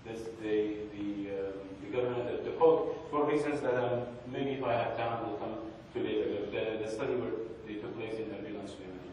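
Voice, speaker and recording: A man's voice: on the low side, steady tone, a little mellow, slight background noise. Man in an echoey hall. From Kurdistan, northern Iraq. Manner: hesitant, with many pauses and restarts. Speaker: conference speaker. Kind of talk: academic presentation. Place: large conference hall. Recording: echoey hall, distant mic.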